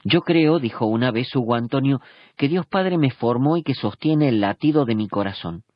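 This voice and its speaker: A man reading a solemn, measured religious reflection for radio. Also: mid-range voice, lively intonation, no room sound. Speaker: radio commentator. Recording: dull, dry radio sound.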